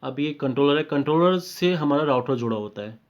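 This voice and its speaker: Higher-voiced Indian man tutoring, dull lo-fi sound. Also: lively intonation, a little fast. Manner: explanatory, repetitive, often checking in with short confirmations; mixes in English technical terms. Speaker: technical trainer. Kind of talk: informal step-by-step technical tutorial.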